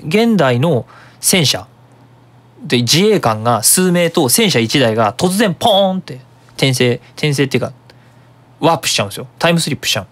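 A web radio host giving a casual, explanatory monologue in an animated manner. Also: mid-range voice, brisk.

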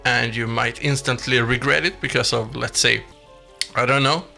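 A man narrates a scripted game review in a very bright, forward voice. There is a clear gravelly creak to it, and music plays faintly behind him. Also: medium pitch, steady tone, moderate pace. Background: background music.

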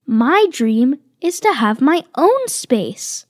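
A woman reading a children's picture book aloud, slow and expressive. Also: high-pitched, no room sound. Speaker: reader voicing tiger cubs.

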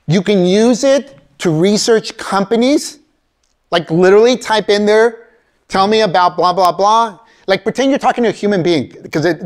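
A middle-aged man giving a motivational career keynote in a college lecture hall, talking straight to the audience as "folks," recorded from a camera out in the audience. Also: sits high. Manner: animated, addresses audience as folks, acts out quoted voices. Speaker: keynote speaker. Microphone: far camera in audience.

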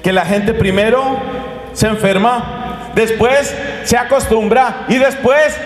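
A middle-aged Colombian man preaching with a handheld microphone, animated and gesturing as he talks straight to his listeners in a hall. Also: high voice, takes it slowly. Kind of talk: lively sermon talk to an audience. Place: conference hall with curtained stage. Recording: echoey hall reverb.

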